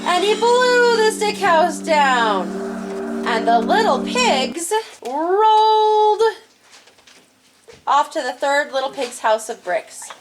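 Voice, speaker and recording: Female children's storyteller and host, high-voiced with big swings in pitch, over music. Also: very animated, slow. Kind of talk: animated storybook narration for children.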